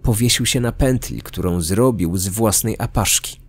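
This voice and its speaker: Low-voiced male true-crime narrator. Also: normal speed. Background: background music.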